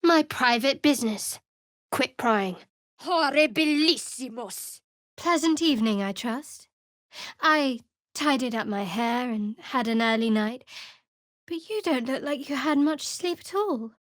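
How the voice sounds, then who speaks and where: A young female cleric sworn to a dark goddess in a fantasy video game. Her voice sits a little high and is captured very dry and close. She is guarded and sardonic, with a measured, dramatic delivery. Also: dry recording. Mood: disapproving, then fierce, commanding.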